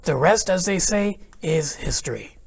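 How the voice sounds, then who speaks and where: A man's voice: high voice, lively intonation, measured pace, gravelly, breathy edge. A man reading a children's picture book aloud in the first person as the wolf, chatty and playfully aggrieved. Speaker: children's read-aloud host narrating as the wolf. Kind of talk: expressive storybook reading.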